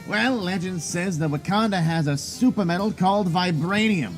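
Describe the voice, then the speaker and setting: A man's voice: high-pitched, normal speed, faint music behind, close to an everyday voice. An actor playing a glib, cocky inventor and wheeler-dealer in an audio drama, with a salesman's patter.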